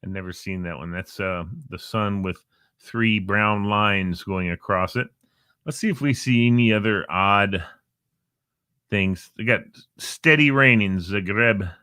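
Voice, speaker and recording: A man's voice: lowish voice, slow, pushed and bright, clear, resonant tone, dry recording, clean studio sound. A man hosting a casual show, rambling playfully with jokey asides about times and weather. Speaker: show host. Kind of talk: casual live show chat. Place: Northern Virginia.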